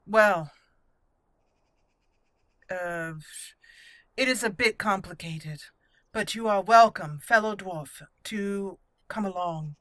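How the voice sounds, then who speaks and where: A woman voicing a tough, stoic young elf mage in a fantasy game roleplay, speaking formally and with measured words. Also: medium pitch, fairly bright tone, dry recording. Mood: hesitant, courteous. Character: tough, guarded young female elf mage, dwarf-raised.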